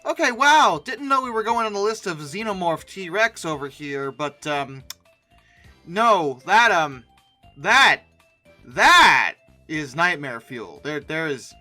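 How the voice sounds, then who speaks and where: A comedy host gives off-the-cuff commentary with a bright, sharp voice and very animated pitch. The delivery is theatrical and sarcastic, taking its time between exclamations. Music plays underneath. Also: slow. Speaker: host of a comedy reaction video. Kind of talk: off-the-cuff comic commentary on images.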